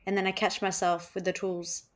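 Podcast host: woman, mid-range voice, casual and reflective, halting thoughtful delivery. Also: medium pitch, little pitch movement. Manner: halting, thoughtful, trailing phrases.

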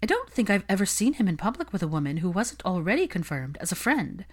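A woman voicing a young, smug society gossip and husband-hunter in an audiobook, with an affected, bright, confiding manner. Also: mid-range voice, very animated, quick pace. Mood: curious, confiding.

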